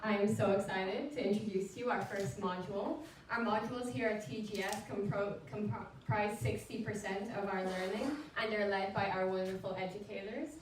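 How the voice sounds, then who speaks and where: A woman's voice: mid-range voice, steady tone, normal speed, few pauses. A teenage girl emceeing a school showcase, announcing in an upbeat way. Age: teens. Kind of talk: event hosting. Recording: echoey hall, mic far off, noisy.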